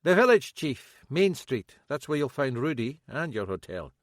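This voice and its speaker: A man's voice: medium pitch, very animated, moderate pace, no room sound, studio quality, pitched above the performer's own voice, close to an everyday voice. Man doing a cheerful police officer. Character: Scottish male police officer, cheerful and helpful.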